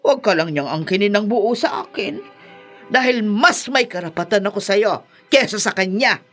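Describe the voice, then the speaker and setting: A woman's voice: mid-range voice, expressive, moderate pace, soft background music, close to an everyday voice. A woman playing a possessive, domineering older Filipino mother with an insistent manner in a radio drama.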